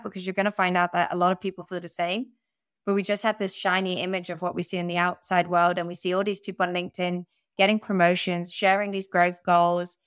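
Candid young woman, smooth voice. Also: fairly low pitch, fairly bright tone, no echo, studio quality. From Netherlands. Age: young adult. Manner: candid, self-deprecating, laughs at herself. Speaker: podcast guest, growth advisor. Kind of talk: conversational interview.

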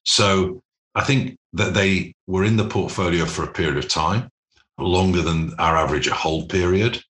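A man being interviewed as a business executive, explaining things in a measured, articulate corporate manner. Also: deep voice, fairly bright tone. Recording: roomy, echoing sound.

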